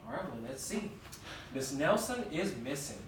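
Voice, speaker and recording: Higher-pitched man reading aloud, very echoey. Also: sing-song, moderate pace. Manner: questioning, prompting the listener. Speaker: storyteller reading aloud to a listener. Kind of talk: interactive children's read-aloud. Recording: very echoey room, distant mic, faint noise.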